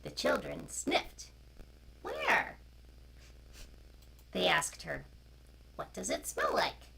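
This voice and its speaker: Low, creaky woman storyteller, slow and animated. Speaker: storyteller, co-host. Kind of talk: informal chat and picture-book reading aloud. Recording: noisy, distorted live-stream sound.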